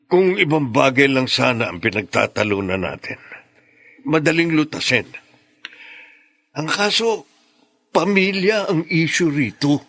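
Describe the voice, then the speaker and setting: A man's voice: fairly high pitch, lively intonation, a little slow, long pauses, fairly bright tone, no echo, exaggerated voice. A man playing a troubled, frustrated middle-aged father in a radio drama, serious, with a Filipino accent. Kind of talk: Tagalog radio drama acting.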